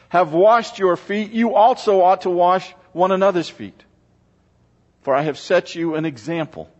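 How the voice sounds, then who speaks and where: A man speaking as a pastor, reading scripture to a congregation in a measured, solemn church delivery. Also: fairly high pitch. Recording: muffled, reverberant large-room recording.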